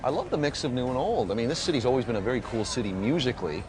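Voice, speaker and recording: Canadian guy presenting travel stuff, really animated pitch, music playing behind. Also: rapid-fire. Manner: friendly presenter, expressive delivery. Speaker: travel show co-host. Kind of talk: travel show hosting and street interviews.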